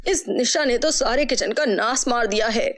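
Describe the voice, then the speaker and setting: Casting: woman as scolding elderly granny for a fairy tale, high-pitched, nagging, sarcastic. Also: moderate pace, close to an everyday voice. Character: scolding, grumbling old grandmother. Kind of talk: acted children's fairy-tale dialogue.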